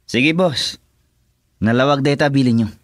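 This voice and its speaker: Man acting an eager henchman, mid-pitched. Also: measured pace, dry recording, clean studio sound, lightly acted. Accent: Filipino. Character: eager, loyal male henchman of a crime boss. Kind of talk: Filipino radio drama acting.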